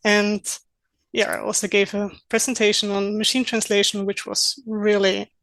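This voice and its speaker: A young woman from Austria, German her first language, answers interview questions in English. She explains things in an earnest, methodical way, at a middle pitch. The sound is dry and close, with no room around her voice. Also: little pitch movement, moderate pace, a bit bright, dry recording. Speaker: podcast guest, localization project manager.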